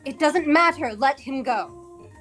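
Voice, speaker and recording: Higher-voiced, wryly composed woman boss played by an actress. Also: animated, takes it slowly, fairly bright tone, soft background music, heavily acted. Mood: calm, firm. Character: Cobell, composed, wryly condescending female office boss. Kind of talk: script table read.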